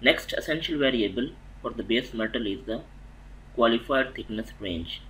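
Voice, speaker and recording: A man narrating a technical lecture over slides, methodical and halting, restarting phrases mid-sentence. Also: mid-range voice, lively intonation, a bit bright, poor audio. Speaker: welding inspection instructor.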